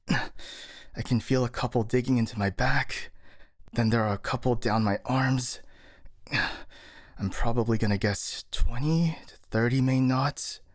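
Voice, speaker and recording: A man's voice: medium pitch, slow pace, no room sound, clean studio sound, lightly acted. A man playing a skeptical, worrying captured master ninja, sighing and hesitant, in a scripted ASMR audio roleplay. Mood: strained.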